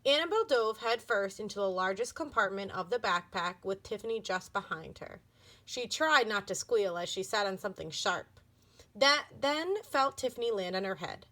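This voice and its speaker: A woman reading a children's book aloud as an expressive storybook narrator. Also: mid-range voice, normal speed.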